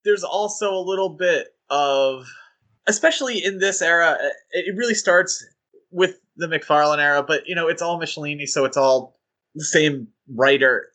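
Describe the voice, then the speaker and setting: A man co-hosting a podcast, talking in a casual, animated, rambling way with fillers and restarts. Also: on the high side, moderate pace. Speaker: podcast co-host.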